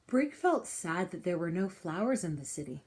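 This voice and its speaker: A woman reading a children's picture book aloud in an expressive style, with deliberate, dramatic phrasing and pauses. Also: on the low side, slight background noise. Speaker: storybook reader.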